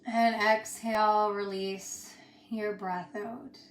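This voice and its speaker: A woman teaching yoga and guided meditation in a soothing, unhurried way, pausing between short phrases. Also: medium pitch, flat delivery. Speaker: yoga instructor. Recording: roomy echo with music underneath. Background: music.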